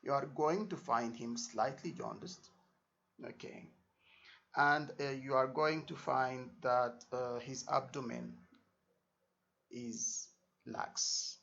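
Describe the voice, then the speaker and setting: A man's voice: mid-range voice, fairly even intonation, slow, vocal fry, long pauses, fairly bright tone. A man giving an informal exam lesson as a tutor, repeating himself and checking understanding with short tags. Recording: dull lo-fi microphone sound.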